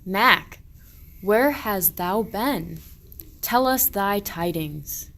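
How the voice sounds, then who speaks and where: A woman's voice: mid-range voice, lively intonation, extremely slow, fairly bright tone, exaggerated voice. A woman playing a suspicious, mocking and weary shepherd in a medieval radio play, declaiming archaic verse.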